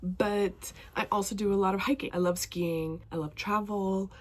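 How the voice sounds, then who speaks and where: Young woman, casual vlog chat, stuffy nose from a cold, enthusiastic, mid-pitched. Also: fairly even intonation, normal speed. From northwestern Montana. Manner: chatty and enthusiastic. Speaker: BookTube vlogger. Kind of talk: casual talking-to-camera vlog.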